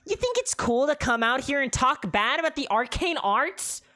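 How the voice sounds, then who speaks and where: A narrator voicing a brash female harpy with a shrill voice who scolds loudly in slang. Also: big pitch swings, normal speed, full character voice. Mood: scolding.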